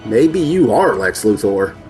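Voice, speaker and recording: A man's voice: lowish voice, big pitch swings, a little slow, a little mellow. A man reading a scripted podcast promo with music behind him.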